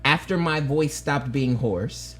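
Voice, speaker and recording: A man's voice: mid-range voice, slow, fairly bright tone. Guy on a podcast, rambles n restarts, bit of room hiss in the back. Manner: rambling storyteller, trails off and restarts. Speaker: podcast co-host. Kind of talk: casual chat.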